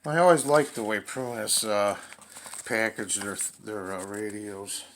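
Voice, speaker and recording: A man with a fairly low voice talks very slowly, as if reviewing a gadget off the cuff. His delivery is casual and halting, working things out aloud. There is clear noise and some clicking on the recording. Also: a bit bright. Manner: halting, thinking aloud as he goes. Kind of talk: casual unscripted unboxing commentary.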